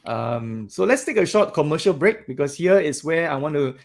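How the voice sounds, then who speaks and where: A man hosting a podcast, talking in a loud, animated way and laughing often. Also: a little high, moderate pace, creaky. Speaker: podcast host. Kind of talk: casual interview chat.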